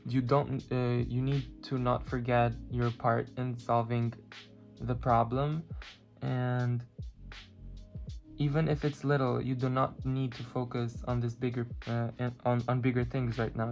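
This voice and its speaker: A card reader who is not a native English speaker, giving a spiritual pick-a-card reading and often pausing to search for words. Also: medium pitch, little pitch movement, darkish tone, muffled recording. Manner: halting, many fillers, searching for words. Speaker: tarot and oracle card reader. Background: clear background music.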